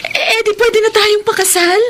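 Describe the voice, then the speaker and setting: Actress as a high-voiced young woman, pleading, lovesick; radio drama. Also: steady tone, moderate pace, a bit bright, slight background noise. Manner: pleading, emotional. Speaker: Gracia, the other woman.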